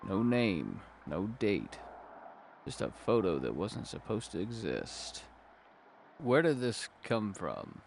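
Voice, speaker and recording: Man playing a slow, gravelly noir private eye. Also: lowish voice, wildly swooping pitch, slight background noise, exaggerated voice. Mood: probing. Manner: brooding, deliberate, wry. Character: world-weary, hard-boiled male noir private detective. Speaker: narrator and lead detective. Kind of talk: noir audio drama narration. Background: music.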